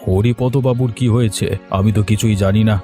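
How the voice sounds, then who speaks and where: A man's voice: on the low side, steady tone, a little fast, dark tone, lightly acted. A man voicing a wealthy, brusque and dismissive village uncle in a cartoon story. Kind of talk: Bengali children's cartoon story dialogue. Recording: music playing under the voice.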